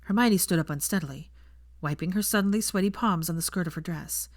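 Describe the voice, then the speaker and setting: A woman's voice: lowish voice, brisk, no echo, studio quality. A woman narrating a fan fiction audiobook, reading scripted prose as a storyteller.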